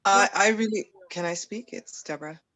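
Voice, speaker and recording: A woman joining a conference call to give earnest thanks, speaking haltingly with frequent restarts. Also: mid-range voice, bright, forceful tone, no echo. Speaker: audience participant. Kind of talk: heartfelt thank-you remarks.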